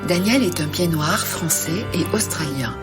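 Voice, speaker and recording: A woman hosting a podcast, reading a scripted narration in a measured, calm way. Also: lowish voice. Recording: narration over music.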